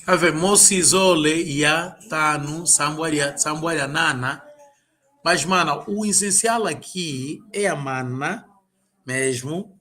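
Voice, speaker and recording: A man's voice: on the high side, slow pace, sharp tone. A man tutoring a student in an informal lesson, patiently repeating and drilling words. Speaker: language tutor.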